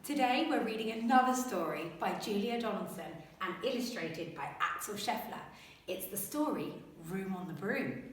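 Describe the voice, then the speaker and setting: A woman teacher reading a rhyming picture book aloud to children, expressive and animated. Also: mid-range voice, moderate pace, far from the mic. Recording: echoey room.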